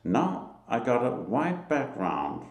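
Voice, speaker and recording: A man from Denmark with a Danish accent, giving a solo religious talk to camera in a halting, emphatic way. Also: mid-range voice, huge pitch swings, reverberant. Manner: slow, halting, emphatic, with short laughs. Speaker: lay preacher.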